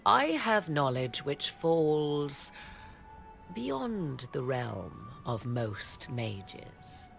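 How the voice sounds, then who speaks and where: Aloof, enigmatic sorceress of the court, fantasy game: imperious, formal, deliberate, music underneath. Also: female voice, low voice, very animated, creaky, full character voice. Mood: silky, enigmatic. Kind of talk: dramatic game voice acting.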